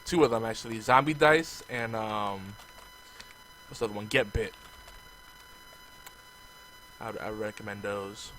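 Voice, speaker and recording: A man chatting casually on a podcast, relaxed and easygoing. His voice is going hoarse as he gets sick. There is a faint hiss behind him. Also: lowish voice, a little slow, pauses often, poor audio. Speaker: podcast co-host. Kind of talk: casual chat.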